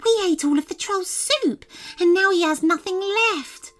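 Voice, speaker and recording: A woman's voice: very high voice, a little slow, soft background music, pitched above the performer's own voice. Woman doing an eager little fairy girl. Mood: alarmed. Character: young fairy girl, earnest and kind.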